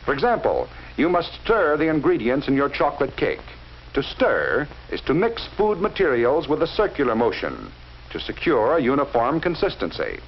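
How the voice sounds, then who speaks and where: 1940s film narrator, man, lively lo-fi. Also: medium pitch. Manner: measured, instructive, addresses the viewer directly. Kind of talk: scripted educational film narration. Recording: dull lo-fi 1940s film soundtrack with faint hiss.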